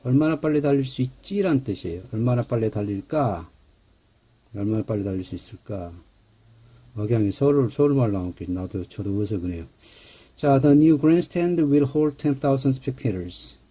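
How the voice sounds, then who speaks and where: A male grammar lecturer with a very dark, mellow tone and a husky edge to his voice. He walks through each point step by step and slows right down to read English example sentences aloud. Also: mid-range voice, lively intonation.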